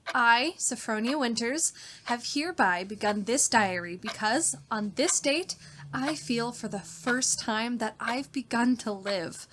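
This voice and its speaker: An actress playing a shy, excitable, romantic spinster in her forties, breathless and girlish, in old-time radio drama style. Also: a little high, a little hiss or hum. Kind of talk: 1940s radio acting, diary narration and dialogue.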